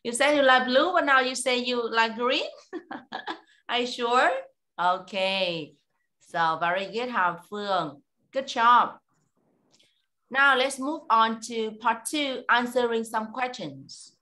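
Woman teacher on a video call, encouraging and slow-spoken, bright and somewhat high voice. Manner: encouraging, slow and clear, lots of praise. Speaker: English teacher. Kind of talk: online speaking test with a child.

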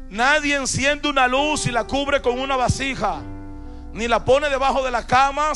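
A man preaches in a charismatic style with a strikingly high-pitched voice, pressing his points through emphatic repetition. He speaks into a handheld microphone on a church stage, with music clearly playing behind him. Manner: emphatic, repeats phrases to the congregation. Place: large church auditorium, on stage.

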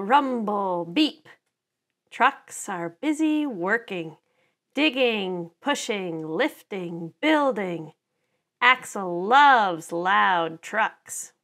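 A middle-aged woman reading a children's picture book aloud to preschoolers in an expressive, deliberate storytelling manner. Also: mid-range voice. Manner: animated, deliberate storytelling with dramatized sound words.

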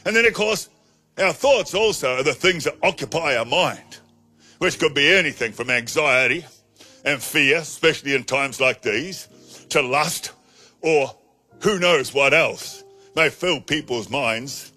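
Husky, bright-toned preacher in his sixties, emphatic, handheld mic, soft music underneath. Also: fairly high pitch. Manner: emphatic, slows to stress key phrases. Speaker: pastor. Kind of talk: sermon. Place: studio stage with colored backdrop.

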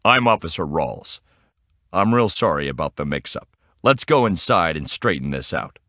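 A man voicing a deep-voiced, businesslike but apologetic police officer in an audiobook. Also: sing-song, normal speed, bright, forceful tone, dry recording, studio quality, heavily acted. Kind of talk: audiobook character acting.

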